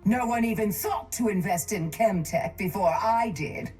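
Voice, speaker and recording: An actress voicing a cold, calculating, wealthy woman crime baron in a video game, smug, measured and condescending. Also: mid-range voice, darkish tone, breathy edge, faint music behind. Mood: cool, calculating.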